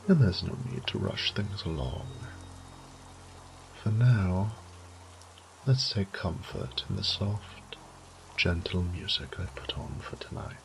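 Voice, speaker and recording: Man as a deep, gravelly, languid vampire lord. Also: full character voice, poor audio. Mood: smug, languid. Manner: theatrical, ornate, taunting, often dropping to a breathy whisper. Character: suave, sadistic male vampire lord toying with his captive prey. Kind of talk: scripted horror audio roleplay monologue.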